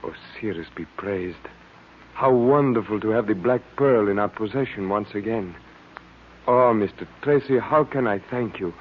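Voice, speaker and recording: Man acting low-voiced courtly Egyptian gentleman, old radio. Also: close to an everyday voice. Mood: reverent, grateful. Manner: formal, halting, effusive. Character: courtly, reverent Egyptian man, grateful cult member. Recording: old narrow-band radio recording with hiss.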